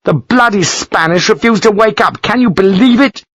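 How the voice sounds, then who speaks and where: A man's voice: high voice, steady tone, quick pace, fairly bright tone, dry recording, voice pushed up. A man voicing a furious aristocratic British officer who rants and curses in a raised, strained voice. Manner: ranting, explosive, cursing.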